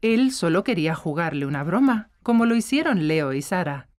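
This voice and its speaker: A woman's voice: fairly low pitch, big pitch swings, normal speed, a little mellow, no room sound, clean studio sound. A woman with a Latin American accent reading scripted narration as the storyteller of a children's cartoon.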